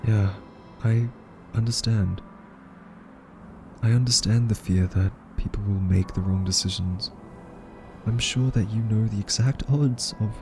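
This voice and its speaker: A man playing an anxious, soft-spoken scientist who reasons haltingly and earnestly with a listener in an audio roleplay. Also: fairly low pitch.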